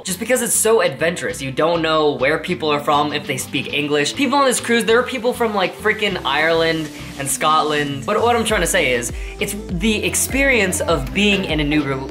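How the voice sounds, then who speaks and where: A man's voice: fairly high pitch, fairly bright tone. A teenage boy vlogging casually from his bedroom, rambling and correcting himself as he goes. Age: teens. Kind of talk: casual vlog chat. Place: small bedroom with posters.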